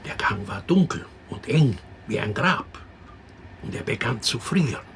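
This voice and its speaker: An actor playing a storyteller who narrates a fairy tale expressively in a radio drama. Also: male voice, medium pitch, sing-song, unhurried, a bit bright, in a whisper. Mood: storytelling.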